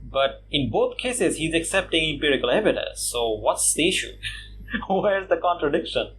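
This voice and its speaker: A man from Bangladesh giving casual response commentary on a video, mocking his opponent with scoffing laughs and lots of "uh" and "you know" pauses. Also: a little high, big pitch swings, a bit bright, a little hiss or hum, low-quality recording. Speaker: YouTube commentator.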